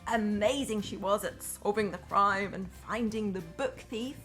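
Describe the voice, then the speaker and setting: Female storyteller, primary-teacher style: unhurried, expressive read-aloud with simple asides for little kids, soft music underneath. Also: mid-range voice, rough recording. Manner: expressive, addresses young children simply.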